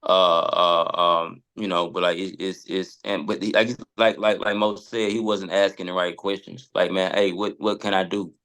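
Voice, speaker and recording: A man's voice: low voice, flat delivery, normal speed, few pauses, fairly bright tone. An American man in a casual discussion, speaking informally and hesitantly, often circling back over his words. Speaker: podcast guest.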